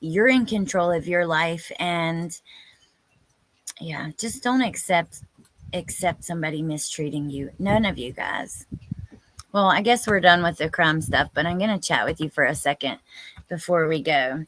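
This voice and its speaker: A woman's voice: low voice, measured pace, a bit bright, rough recording. A woman in her thirties hosting a live stream, chatting informally and exclamatorily with viewers and reading out their comments.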